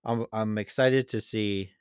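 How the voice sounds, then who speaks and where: A man's voice: on the low side, fairly even intonation, unhurried, clean tone, dry recording, clean studio sound. A man co-hosting a gaming podcast, giving casual, conversational opinions on games. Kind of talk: casual chat and informal game review.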